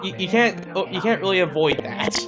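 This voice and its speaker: A man with a somewhat high voice chats casually as co-host of a gameplay video. He is halting and often hunts for the right word. Game music plays loudly behind him. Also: highs cut off. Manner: halting, searching for words. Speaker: let's play co-host.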